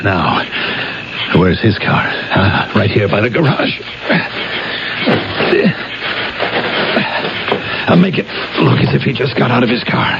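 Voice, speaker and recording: A man acting a brooding, guilt-ridden police captain in a 1970s radio drama, with dramatic, halting delivery. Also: medium pitch, very animated, gravelly, very noisy. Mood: tense, furtive. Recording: muffled old radio broadcast, loud music behind.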